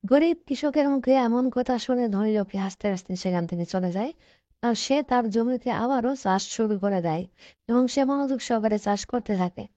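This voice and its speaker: A female narrator reads a scripted cartoon story. Her voice sits a little high with a dark, relaxed tone, and the sound is very dry and slightly lo-fi. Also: moderate pace, no room sound. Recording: dull, dry lo-fi track.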